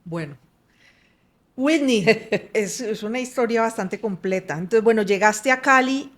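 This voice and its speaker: A woman podcast host interviewing a guest, asking calm, gentle follow-up questions. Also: a little high, measured pace.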